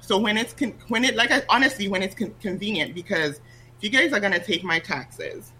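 A woman from Ghana, a guest talking casually about her life and business, lively and quick to laugh. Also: medium pitch, rough recording. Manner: lively, laughs often, restarts sentences. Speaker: guest, online business coach. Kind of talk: casual interview chat. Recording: faint hum under the voice.

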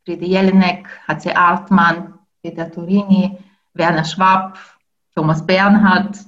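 A woman in her forties whose first language is Ukrainian speaks as a researcher in an interview. She talks thoughtfully, pausing with fillers and restarting her sentences. The recording sounds slightly dull. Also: lowish voice, level tone, fairly bright tone. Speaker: linguistics researcher. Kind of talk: interview answers.

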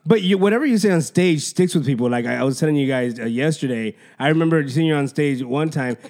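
A man's voice: on the high side, animated, a little mellow. A man hosting a casual chat, cracking crude jokes and jumping in with short remarks. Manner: joking, crude banter, quick interjections.

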